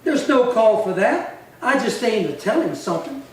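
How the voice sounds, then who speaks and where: A man acting as a bitter western drifter with a swaggering, threatening air. The voice is rather high and comes from far off in a very echoey hall. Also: big pitch swings, moderate pace. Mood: coolly menacing. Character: bitter, menacing male drifter cowboy, jilted brother. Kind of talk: old-time western radio acting. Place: little theatre stage, distant mic. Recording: very echoey hall, far from mic, faint noise.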